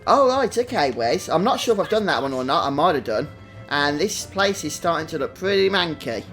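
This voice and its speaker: A man's voice: on the high side, sing-song, brisk, fairly bright tone, soft background music. A man with a British accent giving casual live gameplay commentary, chatting with viewers as he hosts a stream. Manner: chatty, greets viewers individually. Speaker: game livestream host.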